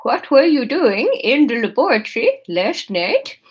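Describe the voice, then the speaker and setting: A woman voicing a brisk, stern woman in charge, using an attempted Dutch accent that turns slightly lispy, clipped and direct. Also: sits high, big pitch swings, breathy edge, full character voice.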